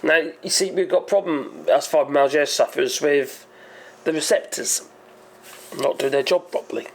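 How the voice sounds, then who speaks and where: Brief: hoarse male channel host, gravelly and slightly high, rambling and chatty. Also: normal speed. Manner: rambling, hesitant, self-correcting. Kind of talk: casual explainer monologue.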